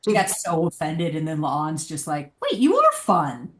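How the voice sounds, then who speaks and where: A woman's voice: low voice, wildly swooping pitch, unhurried. A woman chatting casually as a guest on a podcast panel, in roomy sound. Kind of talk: casual chat, discussion.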